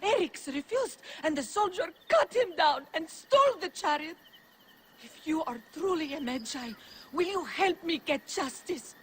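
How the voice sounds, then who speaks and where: Casting: dignified, wronged widow in a historical game, very high voice, emotional and formal. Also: female voice, animated, measured pace, a little hiss or hum. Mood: aggrieved, pleading. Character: grieving ancient Egyptian widow, dignified and pleading. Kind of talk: video game cutscene acting.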